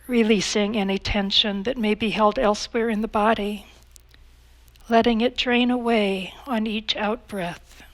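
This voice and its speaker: A woman instructs a guided meditation in a calm, measured manner. She has a mid-range voice and leaves long pauses between her phrases. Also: medium pitch, poor audio.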